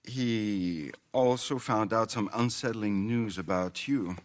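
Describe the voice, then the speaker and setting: An actor playing a genteel, self-important middle-aged mayor in a video game, with a florid, theatrical, ingratiating manner. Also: male voice, fairly low pitch, slow. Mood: evasive, apologetic. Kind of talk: video game cutscene acting.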